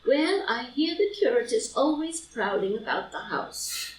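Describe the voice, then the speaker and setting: A woman's voice: on the high side, big pitch swings, measured pace. Chatty, self-assured society matron played by a woman, arch drama delivery, recorded distantly in a roomy space. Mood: breezy, arch. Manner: airy, arch social chatter. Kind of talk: stage play read as audio drama. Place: indoor room, live group reading. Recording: roomy, distant live reading, faint noise.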